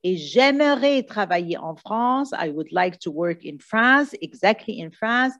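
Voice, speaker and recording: A woman teacher coaches students for an exam at a very unhurried pace. She is didactic, repeating key phrases and pausing to check that they follow. Her pitch sits in a middle range. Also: expressive, fairly bright tone, dull audio. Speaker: French teacher. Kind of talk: exam coaching lesson, switching between two languages.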